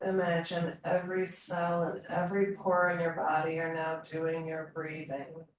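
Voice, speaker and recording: A woman leading a slow guided meditation in a calm, soothing manner, leaving long pauses between phrases. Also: sits low. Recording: roomy echo, distant mic, faint noise.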